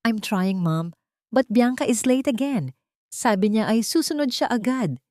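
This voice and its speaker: A woman's voice: fairly high pitch, lively intonation, a little slow, mellow, dry recording, clean studio sound, pitched above the performer's own voice. A woman with a Filipino accent voicing an irritable, arrogant rich man in an audiobook, defensive in tone. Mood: irritated. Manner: defensive, mixes in English.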